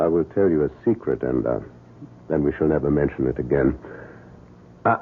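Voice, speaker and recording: An actor playing a kindly, fatherly German surgeon in an old-time radio drama, with an emphatic, deliberate delivery and a German accent. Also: sits low, a little hiss or hum. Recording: narrow, tinny vintage radio recording.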